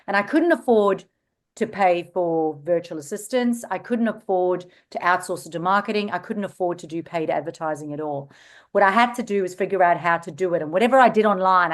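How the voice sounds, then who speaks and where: A woman in her forties from South Africa with a South African Australian accent, telling her story as a guest in an interview, animated and quick to laugh. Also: fairly low pitch. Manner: animated, laughs easily, self-described hyperactive. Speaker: guest entrepreneur.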